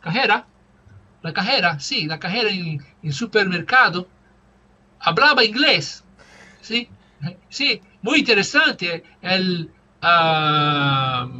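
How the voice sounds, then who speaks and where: A man whose native tongue is Portuguese talks slowly, stopping often to hunt for the right word. His voice sounds bright and a little high. The audio is somewhat muffled, with faint background noise. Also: expressive. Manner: halting, searching for words, many fillers. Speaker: language student. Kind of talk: casual conversation practice.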